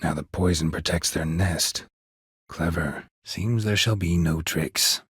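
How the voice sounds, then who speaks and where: A man voicing a gruff, stoic, dry-witted monster hunter in a video game, in a husky rasp with a terse, deadpan manner. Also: deep voice, unhurried, dry recording, full character voice. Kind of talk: video game character dialogue.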